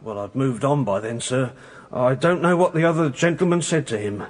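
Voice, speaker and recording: An actor playing a deferential, slightly hesitant British police constable giving factual evidence in a radio courtroom drama. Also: male voice, medium pitch, moderate pace, natural voice. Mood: careful, deferential.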